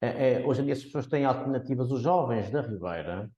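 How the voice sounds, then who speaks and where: A man from Porto with a Porto accent, an economist guest on a podcast, explaining his views in a measured way with frequent restarts. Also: medium pitch, lively intonation, warm tone, reverberant, highs cut off. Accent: Portuguese, Porto. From Porto, Portugal. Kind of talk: podcast interview.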